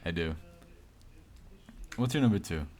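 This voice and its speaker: Casting: male podcast co-host, lower-pitched voice, jokey and mock-exasperated in casual movie chat. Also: lively intonation, unhurried, a little mellow. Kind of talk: casual joking film chat.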